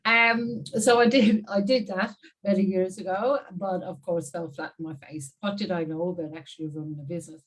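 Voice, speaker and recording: A woman in her fifties with an Irish accent, telling her story as an interview guest in a chatty, animated way with frequent laughs. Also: lowish voice, normal speed, poor audio. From Ireland. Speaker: guest marketing strategist. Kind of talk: casual interview talk.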